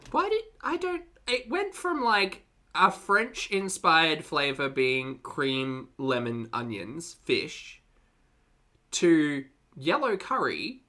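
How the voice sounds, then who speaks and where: A bright, forceful voice with lively swings in pitch gives casual reaction commentary. The delivery is unscripted, incredulous and amused, with swearing dropped in freely. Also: slow pace.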